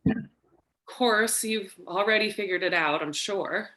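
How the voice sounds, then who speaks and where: A woman playing a frightened, flirtatious femme fatale wife in a staged noir parody, with drawn-out melodramatic delivery. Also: medium pitch, bright, forceful tone, poor audio. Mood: earnest, urgent. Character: frightened, seductive femme fatale wife, breathy damsel in distress.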